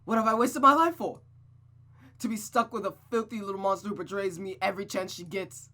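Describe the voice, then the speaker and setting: A woman voicing an abusive, furious religious mother who shouts cruel threats at her daughter, read from a visual novel. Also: medium pitch, fairly quick, pitched above the performer's own voice. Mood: enraged. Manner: shouting, venomous.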